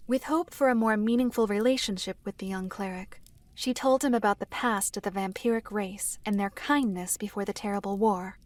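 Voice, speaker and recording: A woman playing a solemn mystical seer who narrates a fantasy drama in a measured, formal way. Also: medium pitch, fairly even intonation, dry recording, natural voice. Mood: knowing. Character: solemn mystical female seer recounting past tragedies.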